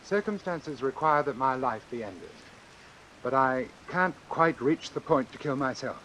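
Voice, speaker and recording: An actor playing a calculating, formal doctor who deliberately and persuasively arranges his own death in an old-time radio suspense drama. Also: mid-range voice, animated, pushed and bright, low-quality recording. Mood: brooding, deliberate. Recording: hissy vintage radio recording with rain. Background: hiss and falling rain.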